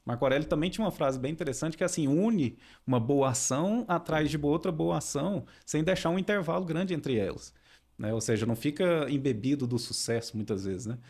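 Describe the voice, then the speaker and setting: A man, a philosophy teacher calmly explaining ideas as a guest in a podcast interview, often ending phrases with a questioning tag. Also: a little high, fairly quick.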